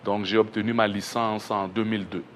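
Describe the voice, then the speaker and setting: Congolese guy w a quebec accent, middle-aged, modest n measured, faint noise in the studio. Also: fairly low pitch, level tone. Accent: Québécois-tinged French. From Kinshasa, Congo. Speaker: education researcher, guest. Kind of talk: interview answers.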